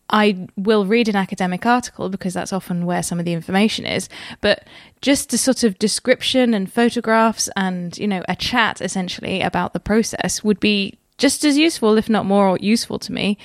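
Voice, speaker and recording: A woman co-hosting a podcast, talking in a musing, hesitant way with soft laughs. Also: medium pitch, no room sound, clean studio sound. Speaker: podcast co-host. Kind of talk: conversational podcast talk.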